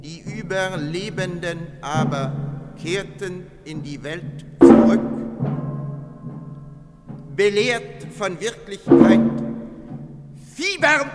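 Reverberant hall, loud percussive music, high male voice, solemn dramatic declamation. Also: lively intonation. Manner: slow, dramatic, rising.

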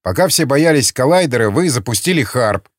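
A man's voice: medium pitch, expressive, a little fast, no echo, studio quality, natural voice. Man voicing a resolute, emphatic councilman. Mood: excited, insistent. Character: blunt, decisive male councilman eager to act. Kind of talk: audiobook character acting.

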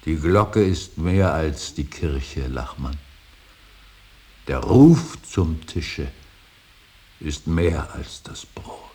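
Very deep, slow, grieving old father. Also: expressive, darkish tone, exaggerated voice. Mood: solemn, searching. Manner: halting, weighty declamation, dropping often into breathy whispers. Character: grieving older father, a painter and professor, brooding, solemn. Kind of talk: German radio drama acting, solemn monologue. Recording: hissy, faintly noisy radio recording.

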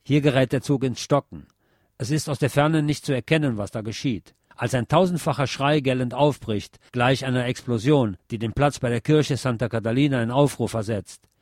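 A man reading scripted literary narration as a radio presenter, in a measured, deliberate, declamatory manner. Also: medium pitch, a little mellow, no room sound, studio quality.

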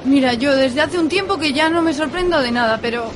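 A woman's voice: high-pitched, fairly quick, crowd noise, noisy background, natural voice. An actress playing a skeptical, teasing young woman in an amateur radio drama. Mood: wry. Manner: wry, teasing.

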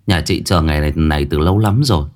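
A man voicing a gentle, sincere village wife in a story, reassuring and heartfelt. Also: a bass voice, fast. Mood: gentle, solemn. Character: gentle, sincere married village woman revealing family history.

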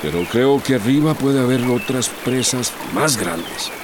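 A man's voice: medium pitch, expressive, a little slow, background noise, natural voice. An actor playing a cautious, knowledgeable outdoorsman in a radio drama. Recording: clear running-water sounds behind.

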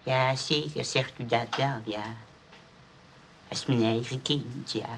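Radio play role: very old grandpa, ex-burglar, creaky and hesitant, courteous and wistful, faint noise behind. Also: male voice, mid-range voice, fairly even intonation, slow. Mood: polite, eager. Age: older. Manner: hesitant, repetitive, folksy and courteous.

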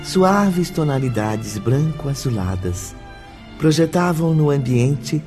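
Male narrator, scripted radio drama, literary delivery, lively intonation, music underneath. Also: medium pitch, slow pace. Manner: literary, expressive. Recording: music behind the voice.

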